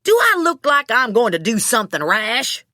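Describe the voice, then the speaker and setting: A woman's voice: lowish voice, sing-song, unhurried, bright, forceful tone, no echo, studio quality, exaggerated voice. A woman voicing a hot-headed, swearing man in an audiobook, blustering about his stolen dog. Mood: angry. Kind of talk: audiobook character dialogue.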